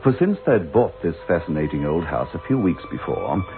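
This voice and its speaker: Measured storyteller, very low voice, radio drama narration, phone-like sound over music. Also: male voice, very animated. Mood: measured, wry. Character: measured, wry storyteller of a ghost tale. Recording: phone-like old radio broadcast.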